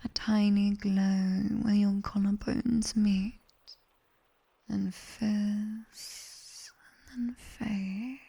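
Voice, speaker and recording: A British woman playing a sharp-tongued nightmare demoness tutor in an ASMR roleplay, in a breathy whisper, teasing and strict. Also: mid-range voice, full character voice. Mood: hushed, stern. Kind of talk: whispered ASMR roleplay, slow guided instruction. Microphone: close binaural microphone.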